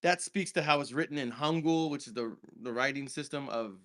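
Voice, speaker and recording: A man's voice: medium pitch, fairly quick, fairly bright tone. A man, co-hosting a casual film review chat and explaining at length with many restarts. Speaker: podcast co-host.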